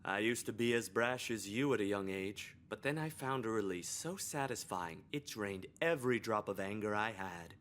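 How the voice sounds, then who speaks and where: A prim, preachy woman on a school's staff, in a video game. Her voice is very deep and swings widely in pitch. She scolds in a theatrical, moralizing way. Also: animated, normal speed, hardly any pauses.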